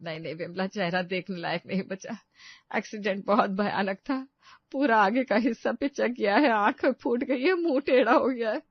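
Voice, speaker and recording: A woman's voice: mid-range voice, moderate pace, breathy edge, dry recording, lo-fi sound. A woman playing a somber, resigned family matriarch, an elder sister-in-law, in a Hindi cartoon story.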